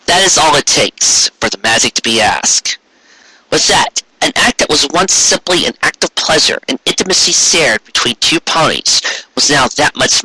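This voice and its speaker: A man delivers scripted narration with expressive, theatrical stresses. His voice is very bright and tense, slightly rough rather than clean, in a dry recording. He slips into wry asides as he reads. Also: a little high, no echo, low-quality recording. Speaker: fan fiction reader.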